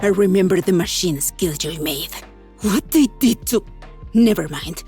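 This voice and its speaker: War-haunted female game warrior, guarded and brooding, lowish register, faint music behind. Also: lively intonation, unhurried, a little mellow.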